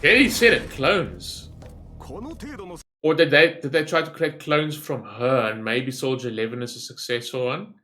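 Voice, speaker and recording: A man's voice: medium pitch, moderate pace, sharp tone. Rambling casual man reacting over background music. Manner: rambling, thinks aloud, often tags sentences with "right?". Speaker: gaming reaction video host. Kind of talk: casual, off-the-cuff reaction commentary.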